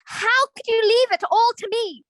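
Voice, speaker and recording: Woman acting an anguished, high-pitched defiant young gamer girl. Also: normal speed, fairly bright tone. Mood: accusing. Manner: earnest, pleading. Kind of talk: staged reader's theatre acting.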